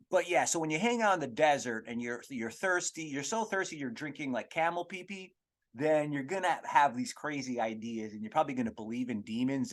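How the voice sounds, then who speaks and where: A man's voice: medium pitch, a bit bright. Rambling man hosting a casual comedic podcast. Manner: rambling, halting, crude slang. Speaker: podcast host.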